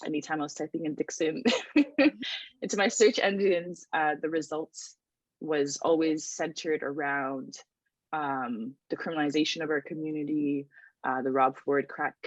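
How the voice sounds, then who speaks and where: A woman's voice: low-pitched, moderate pace, dry recording, rough recording. A woman, a writer and researcher talking thoughtfully in a casual podcast chat, with many restarts and ums. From Toronto, Canada.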